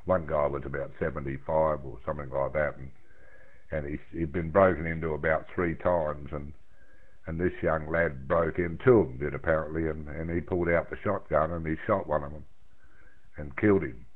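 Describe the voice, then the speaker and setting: A man's voice: a bass voice, steady tone, moderate pace. A man chatting casually over the radio in a blunt, folksy, colloquial way, rambling along. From outside the United States. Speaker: amateur radio operator chatting with friends. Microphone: radio microphone over internet link. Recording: narrow, tinny phone-like radio link.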